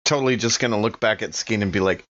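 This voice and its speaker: Lower-pitched man, slightly dull recording. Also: quick pace, a bit bright, dry recording. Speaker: player in a tabletop game. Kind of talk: casual game-table chat.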